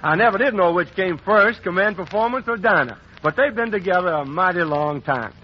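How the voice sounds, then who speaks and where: A man's voice: high-pitched, animated, brisk, slightly rough. A man introducing a singer on a 1940s radio variety show in a folksy, friendly way. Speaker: host. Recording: dull 1940s radio broadcast.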